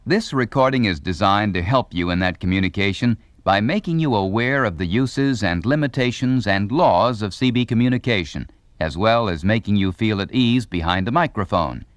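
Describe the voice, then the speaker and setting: A man's voice: fairly low pitch, lively intonation, normal speed, hardly any pauses, dry recording, clean studio sound. A man reading scripted instructional narration with a polished, formal announcer delivery.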